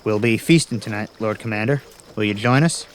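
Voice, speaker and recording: An actor playing a battle-weary general in a fantasy audio drama, plain-spoken, respectful and straightforward. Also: male voice, fairly low pitch, brisk, a little hiss or hum, lightly acted. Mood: relieved, respectful.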